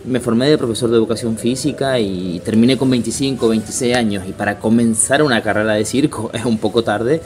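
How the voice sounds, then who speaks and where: A man in his thirties from Chile, a circus artist, answering interview questions in a casual, halting way with many fillers and restarts. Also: medium pitch, a little mellow, slight background noise. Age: 30s.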